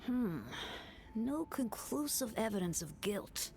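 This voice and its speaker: A woman's voice: on the high side, takes it slowly, full character voice. A woman playing a thoughtful, impartial female magistrate in a voice-acted video game. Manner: thoughtful, formal, even-handed. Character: measured, impartial female magistrate weighing evidence. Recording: game audio with faint music behind.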